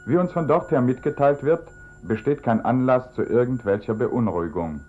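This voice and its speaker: A man's voice: mid-range voice, moderate pace, warm tone, lightly acted. Formal newsreader played by a man, muffled sound. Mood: impassive. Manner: formal, official. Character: formal, impassive male radio newsreader. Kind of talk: 1950s radio news reading in drama. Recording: dull lo-fi vintage radio sound.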